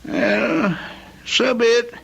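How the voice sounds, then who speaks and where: High, creaky old shopkeeper. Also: male voice, wildly swooping pitch, fairly bright tone, muffled recording, heavily acted. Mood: fussy, obliging. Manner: querulous, halting, fussy. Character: fussy, grumbling old male antique dealer. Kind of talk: old-time radio drama acting.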